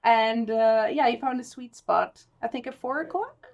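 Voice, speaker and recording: A woman's voice: a little high, normal speed, vocal fry. A woman in her thirties from Italy, a mother of three, chatting as a guest in a casual group podcast and laughing easily.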